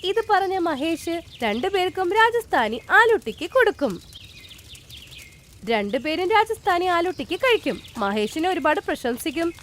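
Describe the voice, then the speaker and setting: A woman tells a scripted bedtime tale for children. Her voice is high, with very lively intonation, over faint background noise. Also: unhurried. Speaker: storyteller. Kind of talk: scripted children's story narration.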